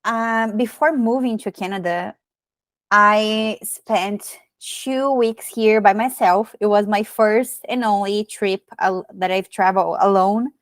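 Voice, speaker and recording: A woman's voice: medium pitch, slow pace, dry recording. Brazilian woman telling her story, dry sound. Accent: Brazilian Portuguese. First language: Portuguese. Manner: many fillers and restarts, animated storytelling. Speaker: podcast guest. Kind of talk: casual interview chat.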